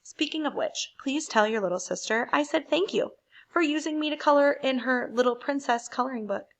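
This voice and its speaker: A woman's voice: high voice, brisk. A woman voicing a sassy, miffed crayon from a picture book, pointed in manner. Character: sassy, miffed pink crayon, feeling overlooked. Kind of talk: picture book read aloud.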